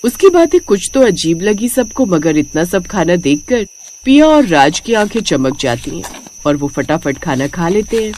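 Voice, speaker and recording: A woman's voice: on the low side, sing-song, normal speed, a little mellow, slight background noise. A woman narrating a scripted cartoon story as its storyteller.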